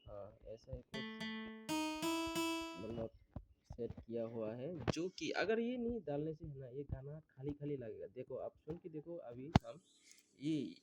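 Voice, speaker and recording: A man who is not a native Hindi speaker gives a step-by-step beat-making tutorial, talking in a halting, apologetic way. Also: mid-range voice, big pitch swings, over loud music. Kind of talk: music production tutorial. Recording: beats and instrument clips playing loud.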